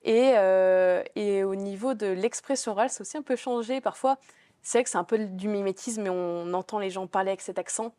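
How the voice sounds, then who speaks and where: A woman's voice: medium pitch, brisk, dark tone, no room sound. A young woman student answering interview questions casually, with lots of fillers and restarts. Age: young adult. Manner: chatty, many fillers and restarts. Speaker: business school student.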